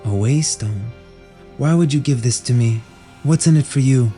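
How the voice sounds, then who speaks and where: A man voices a female fantasy general who is direct and watchful. Questions come out terse and urgent. Music is clearly heard underneath. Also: mid-range voice, moderate pace, mellow, close to an everyday voice. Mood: wary. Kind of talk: fantasy audio drama acting.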